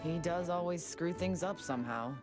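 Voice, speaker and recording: An actress playing a dry, deadpan, unimpressed female fighter in an English anime game dub. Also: lowish voice, moderate pace, music in the background, natural voice. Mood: sardonic.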